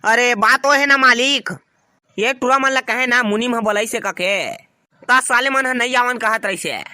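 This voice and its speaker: A woman's voice: a little high, moderate pace, sharp tone, dry recording, clean studio sound. A woman voicing a deferential village clerk in a cartoon, explaining things with a Chhattisgarhi accent. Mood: defensive. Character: servile village clerk who answers to a master. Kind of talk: cartoon dialogue.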